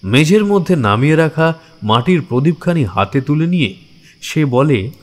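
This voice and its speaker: A man's voice: on the high side, sing-song, normal speed. A man narrating a horror story from a script in a theatrical, suspenseful manner.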